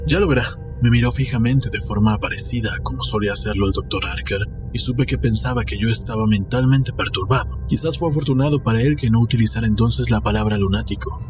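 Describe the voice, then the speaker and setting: A man's voice: fairly low pitch, fast talker. A man reading a scripted story in a dramatic narration style, with music behind him. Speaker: audiobook narrator. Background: background music.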